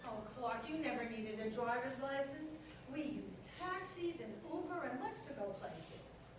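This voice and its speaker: A woman's voice: high-pitched, moderate pace, recorded from a distance, natural voice. A woman playing a practical, nagging companion to a superhero, dry and scolding, in a staged comedy play reading. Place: echoey room at a live staged reading. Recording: very echoey hall from afar, clear noise.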